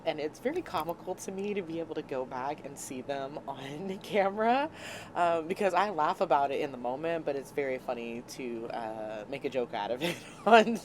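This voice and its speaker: Low-voiced woman, scratchy from a cold. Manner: chatty and self-mocking, laughs at her own clumsiness. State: slightly hoarse from a cold, scratchy throat. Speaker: vlog host. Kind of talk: casual cooking vlog narration. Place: small RV kitchen. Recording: noticeable background noise.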